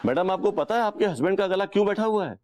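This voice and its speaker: An actor playing a polite but nosy bank clerk who makes teasing remarks. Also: male voice, high-pitched, animated, fast talker, a little mellow, a little hiss or hum. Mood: cheeky. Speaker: bank employee.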